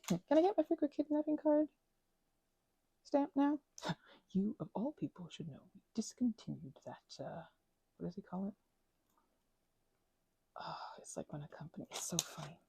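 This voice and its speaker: A young woman chatting in a rambling, casual way while she works on a craft project, sometimes dropping into soft whispers. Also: medium pitch, vocal fry, husky, dry recording. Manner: chatty, rambling, drifts between soft whispers and louder asides. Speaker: ASMR creator.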